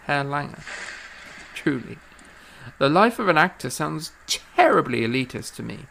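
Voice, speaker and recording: An actor playing an earnest, impassioned and self-doubting young composer in a period audio drama, with stammering, effusive, formal old-fashioned speech. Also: male voice, fairly high pitch, very animated, a little slow, vocal fry, fairly bright tone, a little hiss or hum, full character voice, poor audio. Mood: disdainful, modest.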